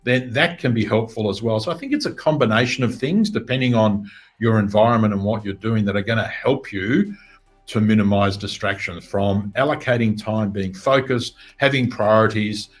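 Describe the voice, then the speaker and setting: Middle-aged man, lowish voice, earnest business coach, faint music underneath. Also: moderate pace. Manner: steady, earnest, reflective. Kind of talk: interview answers.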